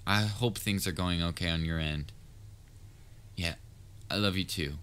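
Man acting as a slow-talking, low-voiced caring babysitter. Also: a bit bright, clean tone. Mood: worried, earnest. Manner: coaxing, casual, firm but tender. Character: patient young male babysitter of a catgirl-like child, exasperated yet caring. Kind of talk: roleplay audio drama, speaking directly to listener.

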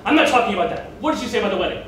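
A man's voice: high-pitched, animated, rapid-fire, voice pushed down. A man voicing a furious, bossy bride-to-be, accusing and insistent, in a theatrical stage monologue. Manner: accusing, insistent, sharp-tongued. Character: bossy, furious young bride-to-be badgering her fiance. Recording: echoey room, distant mic, faint noise.

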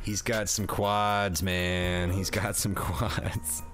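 A man's voice: low-pitched, flat delivery, extremely slow, a bit bright, faint music behind. A man hosting a comedy movie review, recapping in a slangy, sarcastic, profane style with jokey banter.

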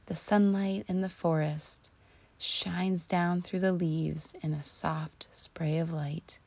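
A woman narrating a guided imagery relaxation in a soothing, deliberate, breathy voice that often sinks close to a whisper. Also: fairly low pitch, steady tone, darkish tone, dry recording. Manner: soothing, slow and deliberate with long pauses. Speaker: guided meditation host.

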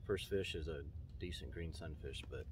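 A man's voice: extremely low pitch, moderate pace. Easygoing man in his forties. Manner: easygoing, rambling, self-deprecating. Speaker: fishing vlogger. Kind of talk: casual vlog commentary. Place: inside a parked car; outdoors on a kayak on a lake. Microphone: phone camera at arm's length; camera mounted in front of a kayak. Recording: distant, dull mic with loud wind and water noise.

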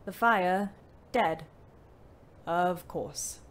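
An actress playing a weary, bitter young ambulance driver, narrating a Great War audio drama in a wry, dramatic way. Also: female voice, lowish voice, drawn-out words, heavy background noise. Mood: grim, exhausted. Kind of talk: first-person audio drama monologue.

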